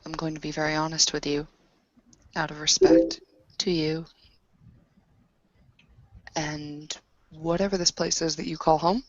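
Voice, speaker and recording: A woman's voice: deep voice, little pitch movement, unhurried, pauses often. A woman playing a tabletop roleplaying game, improvising her character's lines. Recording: dull lo-fi recording.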